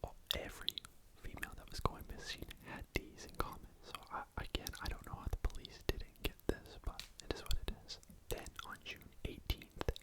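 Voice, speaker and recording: A person telling a true crime story in a breathy, unvoiced ASMR whisper, with a casual, conversational manner. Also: fairly quick, poor audio. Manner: casual, conversational retelling with repetition.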